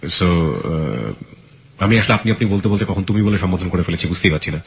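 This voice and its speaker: A man's voice: deep voice, lively intonation, brisk, creaky, muffled recording. A man with a Bangladeshi accent hosting a radio show, interviewing a guest about a real-life story with probing questions.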